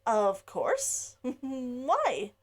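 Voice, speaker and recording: Lady doing a young noble girl, polite but tense, kinda high voice. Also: wildly swooping pitch, extremely slow, exaggerated voice.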